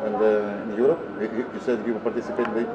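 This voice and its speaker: A man interviewing a guest, asking halting questions with many hesitations. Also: on the low side, flat delivery, reverberant, a loud crowd. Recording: dull lo-fi sound with clear noise.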